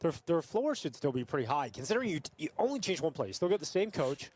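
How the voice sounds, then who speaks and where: A young man casting an esports match, measured and even, with a few stumbles over words. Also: fairly high pitch. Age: young adult. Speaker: esports caster. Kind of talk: play-by-play commentary.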